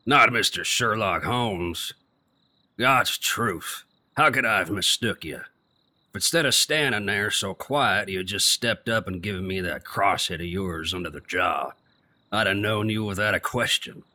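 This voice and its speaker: A man playing a gruff, stubborn ex-prizefighter gatekeeper in an audio drama, with rough working-class speech and grammar. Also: lowish voice, measured pace, bright, forceful tone. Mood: impressed, hearty.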